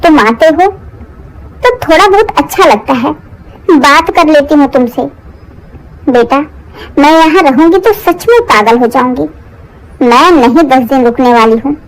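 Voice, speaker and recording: Woman acting high-pitched, scolding older mother-in-law. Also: expressive, a little slow, pauses often, low-quality recording. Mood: self-pitying. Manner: complaining, melodramatic. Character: aggrieved, scolding older mother-in-law, self-pitying and sarcastic. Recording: music under the voice.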